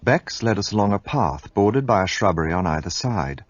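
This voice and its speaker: A man's voice: on the low side, animated, fairly quick, no room sound, lo-fi sound. An actor voicing an observant Englishman who narrates the story in past tense. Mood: narrating. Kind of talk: radio drama narration.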